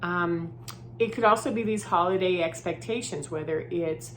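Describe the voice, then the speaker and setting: A woman with a slightly low voice who sounds groggy from a head cold. She talks in a loose, chatty way, with plenty of "um" and "right?". There is a faint hum behind her. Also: normal speed, a bit bright. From Southern California. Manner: chatty, filler-heavy, often adds "right?". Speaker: vlogger. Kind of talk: casual spoken vlog. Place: her new home, indoors.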